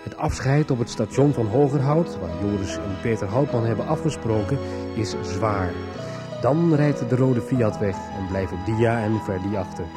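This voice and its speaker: A man's voice: on the low side, moderate pace. Male radio soap narrator over music. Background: prominent music.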